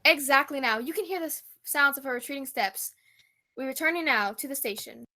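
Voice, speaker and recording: Brief: actress as dramatic field reporter for a radio spoof, high bright voice, portentous delivery. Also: female voice, fairly quick. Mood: hushed urgency. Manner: portentous, overblown. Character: earnest, dramatic female radio news reporter. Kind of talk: comic radio play news report.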